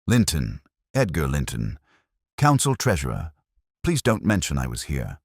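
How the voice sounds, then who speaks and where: A man's voice: very deep voice, huge pitch swings, unhurried, breathy edge, dry recording, studio quality, heavily acted. A man voicing a frightened, reluctant woman in her late fifties, anxious and hesitant, in a mystery story. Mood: fearful.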